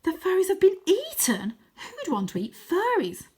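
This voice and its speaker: A woman's voice: very high voice, huge pitch swings, normal speed, a little mellow, pitched above the performer's own voice, full character voice. A woman storyteller acts out a young boy hero from a children's book. He sounds anxious and bewildered. His speech is urgent, full of questions and pleas. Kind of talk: children's storybook character reading.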